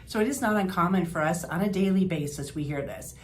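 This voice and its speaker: An older woman from the Upper Midwest with a lowish voice gives friendly health tips in a rambling, homey way, with fillers and self-corrections. Faint background hiss sits under her voice. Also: a little fast. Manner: chatty, homey, with fillers and restarts. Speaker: health practitioner. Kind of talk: informal health advice talk to camera.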